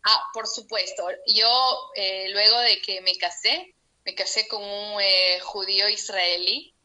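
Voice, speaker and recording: A woman's voice: mid-range voice, fairly even intonation, slow pace, pushed and bright, slight background noise, low-quality recording. A young Peruvian woman telling her story over a video call, heartfelt and laughing now and then. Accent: Peruvian Spanish. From Peru. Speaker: interviewee. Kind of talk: personal account in an interview.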